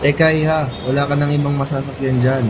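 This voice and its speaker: A man acts as a fatherly, apologetic driver in a radio drama. His voice is slightly high and very flat, with clear street noise behind. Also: fast talker, natural voice. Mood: resigned, concerned.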